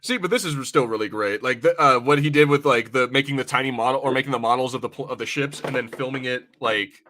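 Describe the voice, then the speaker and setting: A man's voice: medium pitch, fast talker, hardly any pauses, bright, forceful tone, slight background noise. A man hosting a casual chat, opinionated and teasing, swearing freely as he comments along with viewers. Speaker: podcast host.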